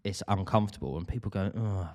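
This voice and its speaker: Wry man, deep voice. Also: fast, darkish tone. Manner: wry, chatty, laughs often. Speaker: podcast co-host. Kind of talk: casual podcast chat.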